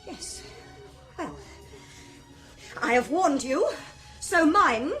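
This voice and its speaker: Prim bossy nurse. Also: female voice, high-pitched, lively intonation, a bit bright, full character voice. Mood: indignant. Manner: prim, bossy, cheerfully brisk. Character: brisk officious hospital nurse. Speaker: hospital nurse. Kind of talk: 1990s radio drama acting. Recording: radio drama with music underneath.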